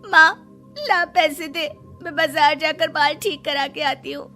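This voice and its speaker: A woman's voice: high voice, steady tone, measured pace, pushed and bright, faint music behind. A woman voicing a young, pleading, wistful daughter in a cartoon story.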